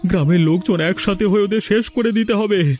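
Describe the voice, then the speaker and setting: A man's voice: high voice, fairly quick, darkish tone, soft background music, close to an everyday voice. A man playing an angry, resolute village father in a cartoon drama.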